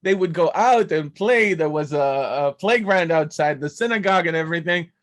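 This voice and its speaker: A man's voice: on the high side, lively intonation, moderate pace, a bit bright. A middle-aged man from Israel with an Israeli accent, a native Hebrew speaker talking as a guest, talkative and digressive with many fillers and restarts. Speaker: guest, biblical languages professor. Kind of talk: conversational interview.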